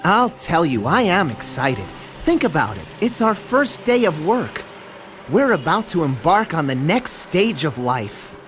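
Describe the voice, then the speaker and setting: A man voicing an eager, slightly nervous young bee, earnest and excitable. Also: on the high side, a little slow, faint music behind. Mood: eager, upbeat.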